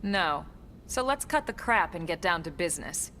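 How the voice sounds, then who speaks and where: An actress playing a composed, no-nonsense female military officer in a video game, speaking in a crisp, measured, dry way. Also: on the low side, expressive, fairly bright tone, slight background noise. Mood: businesslike. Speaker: voice actor. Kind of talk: scripted video game cutscene acting.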